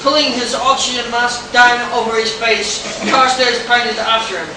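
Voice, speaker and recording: A woman's voice: on the high side, fairly even intonation, normal speed. A woman narrator giving a live dramatic reading of a pulp story at a convention. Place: convention hall. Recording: noisy, echoey convention hall from far off.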